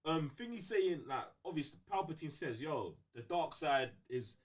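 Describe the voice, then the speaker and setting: A man with a London accent and a slightly high voice co-hosts a casual fan debate. His pitch moves in a very lively way. He talks in emphatic slang and often repeats a phrase to drive it home. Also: moderate pace. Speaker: co-host of a fan talk show.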